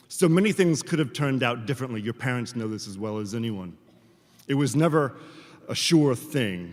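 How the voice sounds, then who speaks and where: Man giving a speech, echoing hall. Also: medium pitch. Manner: measured, deliberate phrasing with rhetorical pauses. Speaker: professor giving a commencement speech. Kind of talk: formal prepared commencement address. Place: large hall at a graduation ceremony. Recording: reverberant large hall, amplified speech.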